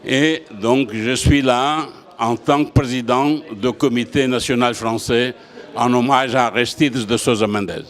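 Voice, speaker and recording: An older man from Portugal, president of an association, speaking in a measured, deliberate interview. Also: medium pitch. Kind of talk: interview statement.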